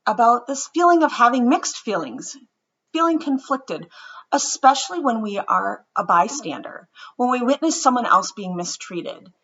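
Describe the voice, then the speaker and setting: A woman reading a picture book aloud as a storyteller for children, with expressive narration and deliberate, dramatic phrasing that drops into hushed softness. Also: a little high, slightly rough, lo-fi sound.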